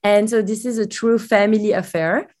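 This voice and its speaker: Belgian-accented woman, interview guest, chatty storyteller, mid pitch. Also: fairly even intonation, normal speed, a little mellow. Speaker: guest, company CEO. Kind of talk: casual interview chat.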